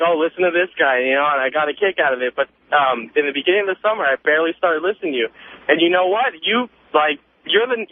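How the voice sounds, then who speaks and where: A nineteen-year-old American man calling a radio show, chatting casually in a cocky, emphatic, rambling way. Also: sits high. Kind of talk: casual phone-in chat. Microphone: telephone. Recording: narrow noisy phone line on radio.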